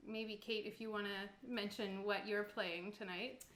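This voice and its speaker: A woman's voice: medium pitch, fairly even intonation, a little slow, fairly bright tone. A woman hosting a tabletop roleplaying session as game master, chatting casually with her players. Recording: roomy echo.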